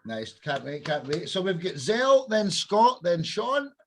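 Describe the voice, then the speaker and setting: A male podcast host with a high voice, upbeat and brisk. He hands the word around and praises each guest in a few words. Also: big pitch swings, a bit bright. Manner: upbeat, repeats short praise. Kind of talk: hosting a guest round.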